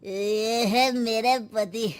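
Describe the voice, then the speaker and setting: A woman voicing a fed-up, drunk and rebellious wife in a cartoon story, with dramatic drawn-out declaiming. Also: on the high side, steady tone. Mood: mocking, aggrieved.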